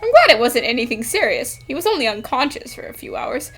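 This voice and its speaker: Woman acting a high, lively girl detective. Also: fast, breathy edge, soft background music, heavily acted. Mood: bright, curious. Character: perky, inquisitive young female detective. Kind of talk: animated mystery fan dub acting.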